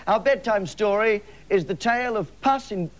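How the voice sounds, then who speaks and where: A man's voice: high-pitched, moderate pace. An Australian man hosting live TV comedy, telling a mock bedtime story with campy, cheeky asides, on a noisy recording. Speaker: comedy show host and storyteller. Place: television studio, live. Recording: noisy live TV studio sound.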